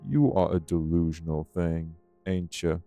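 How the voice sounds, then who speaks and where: A man playing a rough, defiant old vampire, blunt and taunting, in an audio drama. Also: low voice, little pitch movement, unhurried, warm tone, no room sound, faint music behind. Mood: defiant, scornful. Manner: blunt, drawling, taunting, colloquial. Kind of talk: dramatic audio roleplay acting.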